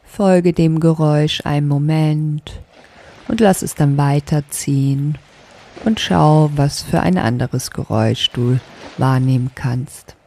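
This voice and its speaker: Very slow, low, creaky female meditation guide. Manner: soft, calming, deliberate instruction. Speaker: yoga nidra teacher.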